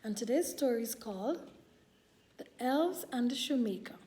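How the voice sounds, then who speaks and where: A woman storyteller reading a picture book aloud to young children in a deliberate, expressive way. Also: on the high side.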